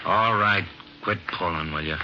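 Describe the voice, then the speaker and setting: A whiny, surly crook. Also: male voice, sits low, normal speed. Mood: sullen. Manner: complaining, threatening. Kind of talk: 1940s radio acting. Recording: dull old radio recording with music.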